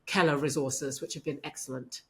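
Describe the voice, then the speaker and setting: A woman's voice: low-pitched, steady tone, normal speed. A middle-aged woman speaking earnestly as a guest in a podcast interview, with frequent affirmations. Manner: earnest, warm faith talk with frequent affirmations. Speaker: podcast guest, medical leader. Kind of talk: conversational interview.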